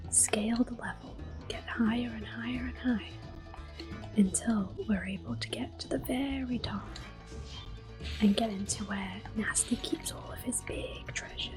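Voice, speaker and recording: A woman gives ASMR gameplay commentary in a soft, breathy whisper. Her delivery is gentle and very unhurried, with video game music clearly playing behind her. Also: fairly high pitch, steady tone. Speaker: game streamer.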